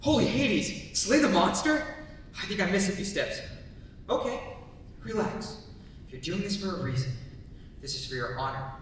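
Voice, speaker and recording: A young man playing an earnest, nervous would-be hero in a live dramatic reading, halting as he talks himself into courage. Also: lively intonation. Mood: panicked. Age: young adult. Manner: halting, self-coaxing. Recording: echoey hall from far off, rumble.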